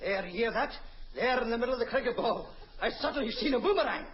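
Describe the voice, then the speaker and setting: An actor playing a daft, self-important, bumbling police inspector, earnest and exclaiming, in an old radio comedy. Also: male voice, extremely high pitch, lively intonation, quick pace. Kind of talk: 1950s radio comedy acting. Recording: muffled, noisy old radio recording.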